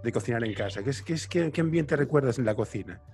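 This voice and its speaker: A man's voice: medium pitch, lively intonation, fast talker, darkish tone. Male podcast host, Spain Spanish accent, mid-range voice, casual and repetitive phrasing, faint music behind. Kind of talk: casual interview chat.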